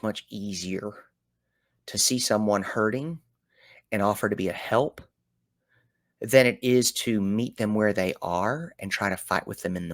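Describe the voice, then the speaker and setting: A middle-aged Southern man hosts a reflective solo talk, his low voice swinging from calm musing to loud, animated bursts. The recording is close and dry, with no room sound, and his easy drawl carries folksy phrasing throughout. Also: vocal fry, dry recording. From American South. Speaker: podcast host.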